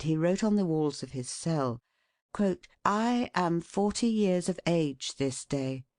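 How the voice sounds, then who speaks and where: An audiobook narrator reading scripted prose in a measured, formal and deliberate way. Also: medium pitch, darkish tone, no echo, studio quality.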